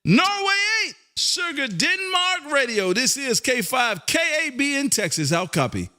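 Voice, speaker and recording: A high-pitched American man hosts live ham radio contacts. He talks in a folksy, exuberant style and likes to repeat a phrase to drive it home. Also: very animated, measured pace, bright, forceful tone. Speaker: amateur radio host and operator.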